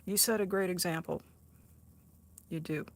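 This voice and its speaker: A woman's voice: lowish voice, fairly even intonation, measured pace. A woman in her sixties chatting casually on a livestream, answering viewer comments, laughing often and teasing herself. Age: 60s. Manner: chatty, laughs often, self-deprecating, frequent restarts. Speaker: art livestreamer reading chat.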